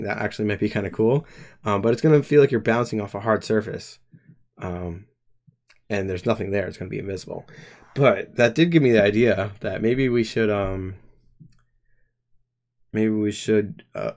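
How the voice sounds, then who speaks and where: A man in his twenties talks through his code on a live stream, working out the logic aloud. His voice sits a little low. He pauses and restarts often as he thinks. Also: darkish tone. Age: 20s. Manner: hesitant, many pauses and restarts. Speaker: game developer streamer.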